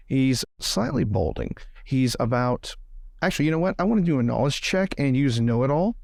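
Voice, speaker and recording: A man's voice: mid-range voice, big pitch swings, moderate pace, creaky, darkish tone, dry recording, clean studio sound. A man playing a tabletop roleplaying game, moving between in-character talk and table chat with wordy, pedantic explanations.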